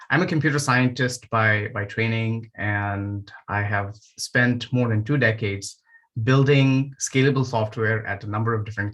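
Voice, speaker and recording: A man from Nepal in his forties, a panelist and education founder, speaking on a video call in a measured, thoughtful way with frequent fillers. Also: lowish voice, a bit bright, poor audio. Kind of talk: online panel remarks.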